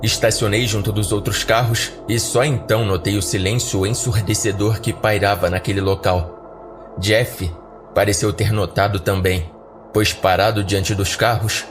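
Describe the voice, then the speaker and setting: A man's voice: fairly low pitch, steady tone, moderate pace. A man with a Brazilian accent narrating a scripted first-person horror story as a podcast narrator. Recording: background music under narration.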